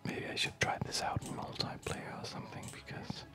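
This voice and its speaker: A person whispering slow, breathy ASMR commentary over a video game, musing playfully and hesitantly.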